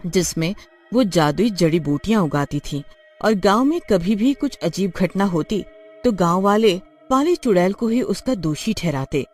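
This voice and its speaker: Female storyteller, lowish and animated, scripted fairy-tale read over music. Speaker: story narrator.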